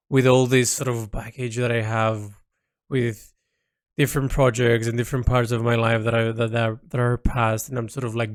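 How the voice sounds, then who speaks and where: A man's voice: mid-range voice, no room sound, studio quality. A man recording an informal, reflective audio diary, speaking hesitantly as he thinks aloud and lets phrases trail. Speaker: artist recording a personal audio log.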